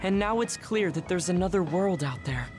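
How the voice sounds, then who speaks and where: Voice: mid-range voice, expressive, normal speed, close to an everyday voice. An actor playing a frank but caring young analyst in a video game, explaining things carefully. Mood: composed. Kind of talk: video game cutscene acting. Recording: clear music behind the voice.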